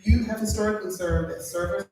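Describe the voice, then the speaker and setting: A woman's voice: medium pitch, steady tone, fast, a little mellow, a little hiss or hum. A woman policy expert explaining wage policy in a casual panel talk, asking rhetorical questions. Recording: echoey room, far from mic.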